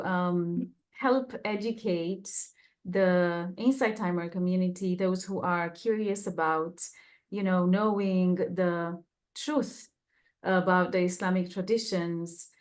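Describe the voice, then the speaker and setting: Woman co-presenter; slow, lowish, gentle and earnest. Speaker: channel co-creator. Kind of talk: casual self-introduction.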